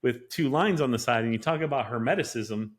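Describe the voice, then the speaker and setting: A man's voice: mid-range voice, fairly quick. Eager man explaining at length. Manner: eager, lecturing explanations with many fillers. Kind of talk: casual podcast conversation.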